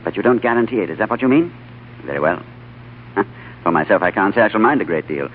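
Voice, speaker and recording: Male actor as cultured diplomat, courteous, shrewd, lively intonation, old radio drama, lo-fi broadcast sound. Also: on the low side, fast, slight background noise, natural voice. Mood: probing, wry. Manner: urbane, polished, courteous. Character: cultured, composed, shrewd British diplomat narrator. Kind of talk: old-time radio drama acting and narration. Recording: muffled vintage radio broadcast with music.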